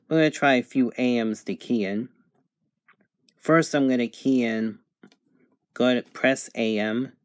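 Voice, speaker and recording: A man's voice: medium pitch, no echo, studio quality. A man giving a casual, methodical, matter-of-fact hands-on demonstration commentary indoors as a reviewer. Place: indoors at a table. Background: sound from a radio.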